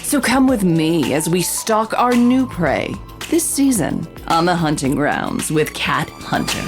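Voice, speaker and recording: An actress playing a confident investigative reporter hosting a true-crime podcast, with theatrical, knowing scripted delivery. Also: female voice, on the low side, big pitch swings, a little slow, soft background music. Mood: intriguing, knowing. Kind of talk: scripted dramatic podcast narration and interviewing.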